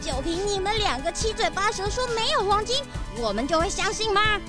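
A woman's voice: extremely high pitch, sing-song, a little fast, loud music behind the voice. A woman voicing a small, sly hamster villain greedy for gold in a children's audio drama, mocking and brash. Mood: indignant.

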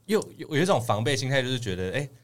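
A man's voice: medium pitch, sing-song, racing. A young man with a Taiwanese Mandarin accent chatting casually as an idol trainee guest on a podcast. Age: young adult.

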